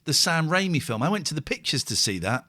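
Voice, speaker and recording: A man's voice: a little high, big pitch swings, fast talker, bright, forceful tone. A man, hosting a casual live stream, rambling and self-mocking as he reads out viewer comments. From England. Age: 40s. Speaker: host.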